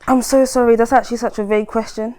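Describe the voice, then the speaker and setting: A woman's voice: on the high side, steady tone, quick pace, warm tone. A woman in her twenties, born in Trinidad and Tobago, chatting as a guest, joking loosely and laughing between words. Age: 20s. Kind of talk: casual chat.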